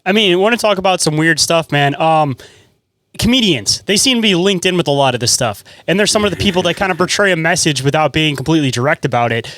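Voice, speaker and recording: A man with a high voice hosts a podcast at a quick clip. He is informal and conversational, circling toward his questions, in a very dry recording. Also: lively intonation, fairly bright tone, no room sound. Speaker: podcast host. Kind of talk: casual conversational interviewing.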